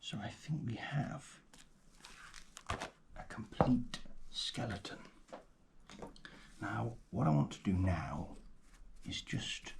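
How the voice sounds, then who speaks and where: Guy doing soft asmr roleplay, whispery and slow, gentle murmuring, some clicky scraping noise. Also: on the low side, very animated. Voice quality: soft, breathy, often whispered. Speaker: host playing a paleontologist guide. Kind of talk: ASMR roleplay, soft narration of a fossil dig.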